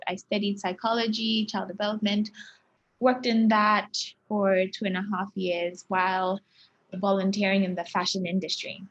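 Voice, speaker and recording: A woman from Ghana in her thirties, a fashion stylist answering interview questions thoughtfully, with frequent fillers and soft laughs. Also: mid-range voice, flat delivery, moderate pace, muffled recording.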